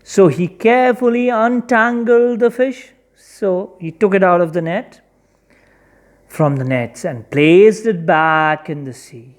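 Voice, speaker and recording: A storyteller narrating a children's folktale expressively, with deliberate, dramatic emphasis. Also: medium pitch.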